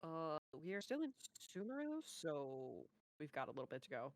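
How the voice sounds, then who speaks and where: A woman's voice: low-pitched, very animated, normal speed, dry recording. A woman chatting casually and giving commentary as co-host of a reaction show.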